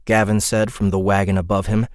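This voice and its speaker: Man, fairly deep voice, dramatic fantasy audiobook narration, scripted, very dry close recording. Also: flat delivery, a little fast, clean tone, no echo.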